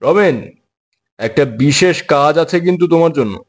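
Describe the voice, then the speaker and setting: A man playing a brisk, talkative office boss giving instructions in a dramatized audio story. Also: on the high side, animated. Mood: businesslike, persuasive.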